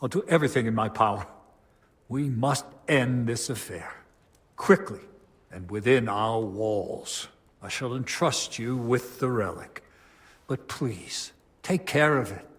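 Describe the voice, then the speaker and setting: A man's voice: mid-range voice, measured pace, natural voice. Man acting solemn, devout medieval abbot. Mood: grave, resolute. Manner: solemn, pious, formal. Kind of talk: dramatic video game cutscene acting.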